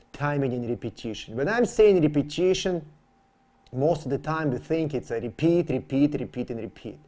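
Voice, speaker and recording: A man with a soft-edged, mellow voice teaches horse training in English that is not his first language. His grammar is non-native, and he repeats important terms for emphasis as he explains his method step by step. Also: medium pitch. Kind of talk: instructional explanation.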